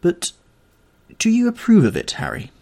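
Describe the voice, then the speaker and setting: A man voicing an earnest, anxious male painter with an excitable, protesting manner, reading a storybook aloud. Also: high voice, normal speed, vocal fry, pitched above the performer's own voice, heavily acted. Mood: agitated.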